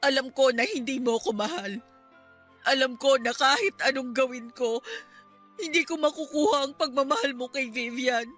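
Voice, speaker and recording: A woman's voice: sits high, fairly bright tone. A woman playing a long-suffering young mother in an emotional radio drama, earnest and pleading with her partner. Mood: hurt, resigned.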